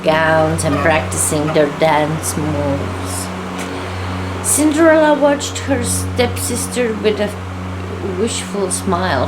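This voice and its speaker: A woman reading a fairy tale aloud as a storyteller, in a halting delivery with small stumbles. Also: mid-range voice, noisy background.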